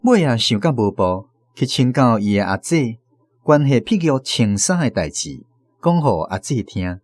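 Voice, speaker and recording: A man's voice: mid-range voice, very animated, a little slow, darkish tone, no echo, clean studio sound. A man with a Taiwanese accent reading scripted story narration for a radio drama.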